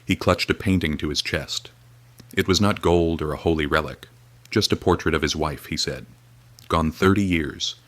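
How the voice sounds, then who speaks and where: An actor playing a weary, grim radio reporter, speaking in a solemn, measured, grave way. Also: male voice, a bass voice, fairly bright tone, no echo. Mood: urgent. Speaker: field reporter. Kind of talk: dramatic period radio reporting.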